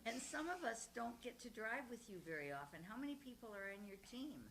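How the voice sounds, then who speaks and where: An older woman with a loud voice, welcoming residents and interviewing a guest at a community gathering in a retirement home's meeting room. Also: a little high, very animated, a little fast. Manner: loud voice, warm welcoming announcer tone, laughs often. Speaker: community committee member introducing a guest.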